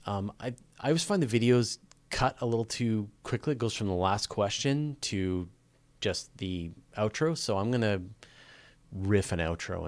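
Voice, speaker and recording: Male live-show host, lower-pitched, informal and joking, conversational delivery, very dry sound. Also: no echo. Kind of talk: casual live Q&A chat with viewers.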